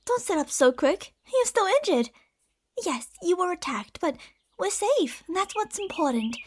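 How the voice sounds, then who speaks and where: A woman playing a possessive, tender but deceitful lamia in an ASMR roleplay, speaking softly and formally, at times slipping into whispers. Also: very high-pitched, big pitch swings, moderate pace. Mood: soothing, concerned. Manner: soft, formal, soothing speech with breathy whispers.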